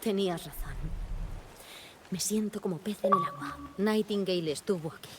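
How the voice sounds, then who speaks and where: An actress playing a composed, sharp female FBI agent in a dubbed video game, speaking in a measured, businesslike, analytical way. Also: mid-range voice, heavy background noise, lightly acted. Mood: focused, analytical. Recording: music behind the voice.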